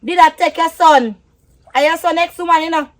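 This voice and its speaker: A woman's voice: extremely high pitch, brisk. A woman with a Jamaican accent playing a feisty, scornful lover in a drama, scolding and repeating her words for emphasis. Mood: shocked, indignant.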